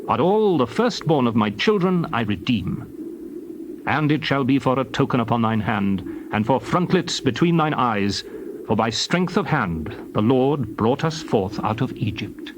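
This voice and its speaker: A man giving a solemn, dramatized scripture reading in a measured, declarative manner. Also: medium pitch, expressive, background noise. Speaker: scripture reader.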